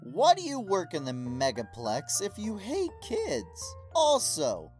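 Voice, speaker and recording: A woman's voice: mid-range voice, wildly swooping pitch, slow, darkish tone, faint music behind. A woman reading out audience questions in an acted style for a comic dub.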